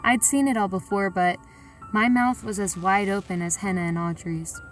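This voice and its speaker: A woman's voice: mid-range voice, fairly even intonation, normal speed, far from the mic, soft background music, lightly acted. A woman playing a plain-spoken, reflective sightseer who tells her story in a colloquial, confiding way. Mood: musing, confiding. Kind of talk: first-person audio drama narration.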